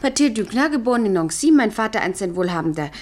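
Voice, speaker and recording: A woman's voice: mid-range voice, expressive, fast talker, lightly acted. An actress playing a demure, obedient young woman in a radio play, speaking deferentially with a carefully recited delivery. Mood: dutiful, demure. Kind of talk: 1970s German radio play acting.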